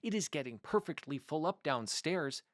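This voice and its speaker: A man's voice: fairly high pitch, animated, normal speed, no room sound, clean studio sound, lightly acted. A man voicing a small, flustered female chipmunk housewife in a storybook, with a fussy manner. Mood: flustered complaint.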